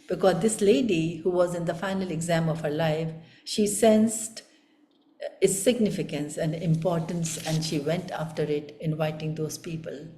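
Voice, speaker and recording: A woman's voice: low voice, dark tone. A woman with an Indian accent giving a spiritual discourse as a teacher, speaking earnestly and emphatically and repeating phrases for weight. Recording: echoey room.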